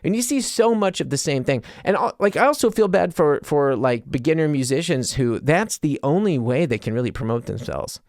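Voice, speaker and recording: A male podcaster with a somewhat higher-than-average voice talks off the cuff to his listeners. His delivery is loose, conversational and self-deprecating, in the manner of a comedian thinking out loud. The sound is dry, with very little room in it. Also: lively intonation, fairly quick, few pauses, dry recording. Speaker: podcast host and stand-up comedian. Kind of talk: casual solo podcast monologue.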